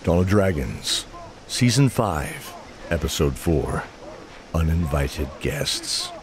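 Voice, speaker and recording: A man's voice: very deep voice, big pitch swings, takes it slowly, noisy background. A man narrating a scripted fantasy audio drama. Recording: voice over prominent music.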